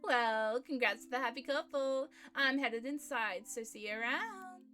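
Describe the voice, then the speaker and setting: A woman's voice: sits high, a little slow, bright, forceful tone. A woman voicing a flirty, gossipy nightclub regular from a visual novel, teasing and breezy. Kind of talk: visual novel read aloud.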